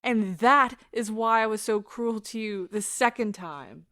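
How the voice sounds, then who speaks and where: A woman playing an anguished, pleading lover in a stage-style audio drama, with a theatrical, impassioned and deliberate delivery. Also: on the high side, fairly bright tone, no room sound, studio quality. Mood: anguished, accusing. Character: anguished, pleading woman leaving a broken love affair.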